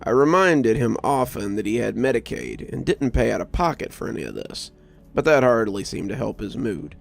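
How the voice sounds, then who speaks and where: A man reading a scripted first-person story as a narrator, in a measured, expressive storytelling manner. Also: mid-range voice, gravelly, faint music behind.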